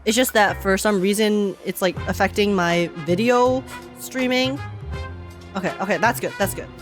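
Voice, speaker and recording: A woman's voice: mid-range voice, normal speed, faint music behind. A young woman live-streaming a game with casual, slangy reactions, expressively repeating phrases for effect.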